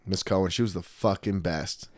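A man co-hosting a podcast, telling animated stories in casual chat, swearing freely. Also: low-pitched, moderate pace, dry recording, clean studio sound. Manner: profane, animated storyteller. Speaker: podcast co-host.

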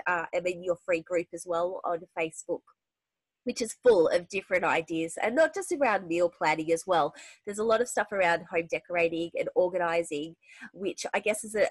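A woman's voice: fairly low pitch, no room sound. A woman hosting a podcast, talking casually with a stuffy, nasal head cold, full of fillers and restarts. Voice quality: nasal, congested. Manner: chatty, with frequent fillers and restarts. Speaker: podcast host. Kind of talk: casual interview chat.

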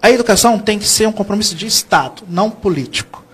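A man's voice: high voice, expressive, fast talker, a bit bright, slight background noise. A Brazilian man from São Paulo speaking as a guest educator in a TV interview, explaining earnestly and often posing questions he then answers. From São Paulo state, Brazil.

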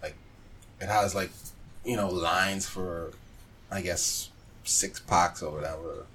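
Male podcast co-host, deep-voiced, chatting casually, a little off-mic with faint noise. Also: fairly even intonation, slow pace, fairly bright tone. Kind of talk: casual banter. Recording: distant mic with background noise.

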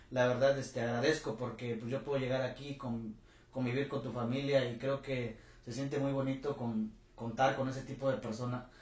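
Man, channel host, friendly, halting, echoey room. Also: medium pitch. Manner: warm, halting with many fillers. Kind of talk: casual interview. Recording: roomy echo.

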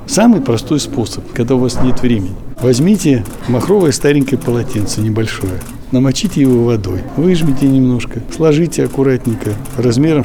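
A man's voice: medium pitch, noisy background. A man speaking as an interviewed doctor, explaining and instructing in informal spoken language, in a muffled recording.

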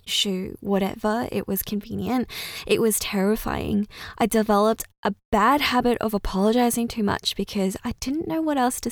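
A woman's voice: on the high side, gravelly, no echo, studio quality. A young woman hosting her own podcast, talking candidly and reflectively about growing up. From Australia. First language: Cantonese or Chinese. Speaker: podcast host. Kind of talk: casual personal podcast monologue.

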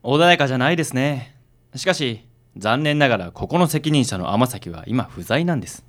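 A woman playing a suave, genteel male detective agency colleague in a radio drama, with gentlemanly, slightly theatrical delivery. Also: extremely low pitch, lively intonation, normal speed. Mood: genial, composed.

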